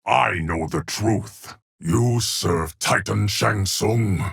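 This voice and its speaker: Game casting: an ageless, solemn keeper of time, low rumbling gravel, dry close sound, measured formal pronouncements. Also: male voice, a bit bright, no echo, exaggerated voice. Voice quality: deep, gravelly, husky. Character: solemn ageless immortal male guardian of time. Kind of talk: video game character voice lines.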